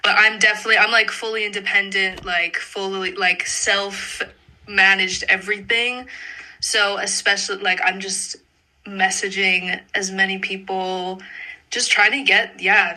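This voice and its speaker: A woman's voice: medium pitch, sharp tone, low-quality recording. A young adult woman chatting casually as an interviewed singer, very informal and peppering sentences with "like". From Canada. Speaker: pop singer being interviewed. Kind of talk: casual chat.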